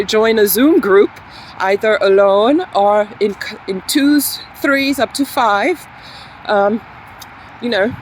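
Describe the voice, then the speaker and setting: Woman, English teacher, mixed French-British-American accent, slow, encouraging, faint street noise. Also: fairly high pitch, a little mellow, rough recording. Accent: mixed, French with British and American traces. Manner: slow, unhurried, encouraging, with many fillers. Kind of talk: casual spoken lesson to camera.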